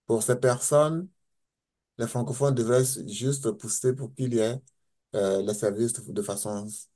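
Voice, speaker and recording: A man's voice: medium pitch, mellow. A man asking a hesitant question from the floor, with many fillers. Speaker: audience member asking question.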